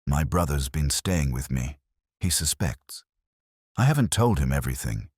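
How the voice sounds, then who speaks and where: Man as wronged woman confessing, composed yet brittle, deliberate, husky, dry sound, audio mystery. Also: a bass voice, big pitch swings, darkish tone, dry recording, full character voice. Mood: brittle, resigned. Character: wronged female clerk, composed but brittle, confessing on tape.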